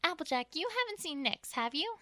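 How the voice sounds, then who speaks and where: A woman's voice: very high voice, very animated, brisk, pushed and bright, no room sound, heavily acted. A woman voicing an anxious, caring young female pony who speaks earnestly and hesitantly in a cartoon-style audio story. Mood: polite concern.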